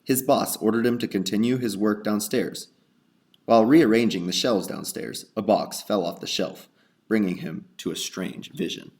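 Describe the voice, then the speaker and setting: A man's voice: fairly low pitch, normal speed, vocal fry, a little mellow. A man reading scripted recap narration as the narrator of a radio drama.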